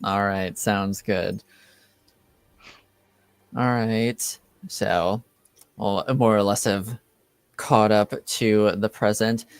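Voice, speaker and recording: A man's voice: lowish voice, fairly even intonation, drawn-out words, pauses often, clean tone, no echo. A man working as game master, narrating and moderating a tabletop roleplaying game.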